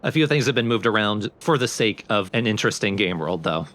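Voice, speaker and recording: A man's voice: fairly low pitch, animated, brisk, a bit bright. A man giving casual, explanatory commentary over a video game, talking informally and step by step. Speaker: let's play commentator. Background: game music under the voice.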